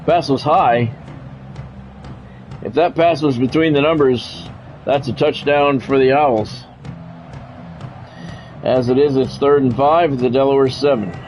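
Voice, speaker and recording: A man's voice: medium pitch, a little slow, pauses often, a little mellow, soft background music, poor audio. A man doing football play-by-play as a game streamer, in short clipped announcer-style calls mixed with casual chat to viewers.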